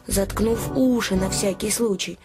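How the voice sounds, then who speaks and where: An actress playing an anxious, kind-hearted young innkeeper's daughter in an audio play, confiding and earnest, telling things with naive expressiveness. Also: female voice, mid-range voice, fairly even intonation, measured pace, music in the background, lightly acted. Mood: hushed wonder. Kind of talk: Russian audio play storytelling.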